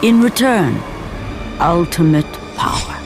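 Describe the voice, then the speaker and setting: Solemn, portentous epic storyteller over music. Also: male voice, high-pitched, lively intonation. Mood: portentous, awed. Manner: solemn, portentous, measured phrasing. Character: solemn storyteller narrating an ancient Greek war epic. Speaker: narrator. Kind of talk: dramatic scripted game narration. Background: dramatic music.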